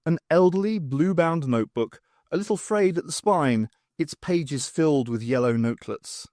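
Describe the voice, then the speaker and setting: A man reading a science fiction audiobook as narrator, in an expressive storytelling manner. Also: a little high, moderate pace, no echo, clean studio sound.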